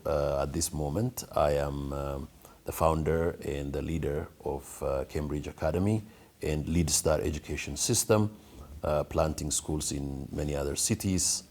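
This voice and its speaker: A middle-aged man from Ethiopia answers interview questions in a very deep, husky voice. He speaks earnestly and at a measured pace, often pausing on fillers as he gathers his thoughts. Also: darkish tone. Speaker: guest, pastor and school founder.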